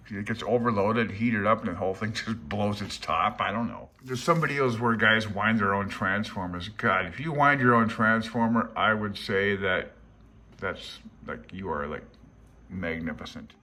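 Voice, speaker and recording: A man's voice: on the low side, pushed and bright. A man around his sixties giving casual, rambling commentary on a handbook as a hobbyist host, with joking, irreverent asides. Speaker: amateur radio video host.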